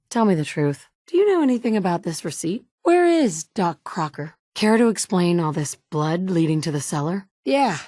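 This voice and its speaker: A woman's voice: lowish voice, a little mellow, dry recording, studio quality. An actress in her thirties playing a blunt, sardonic mother in a game, with clipped one-liners. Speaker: player character. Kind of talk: video game dialogue lines. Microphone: studio mic.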